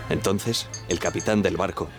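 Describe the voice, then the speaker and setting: An actor playing a dutiful, respectful ship's radio officer who recalls events in a measured way for a radio drama. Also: male voice, low voice, steady tone, music in the background, close to an everyday voice. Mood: reflective. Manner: deferential, measured. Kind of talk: radio drama acting, first-person recollection.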